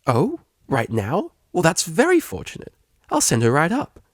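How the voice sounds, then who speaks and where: A man's voice: fairly high pitch, huge pitch swings, normal speed, no echo, studio quality, pitched above the performer's own voice. A man voicing an over-eager, gushing female unicorn receptionist in an audiobook story. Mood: overly cheerful. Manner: gushing, overly polite.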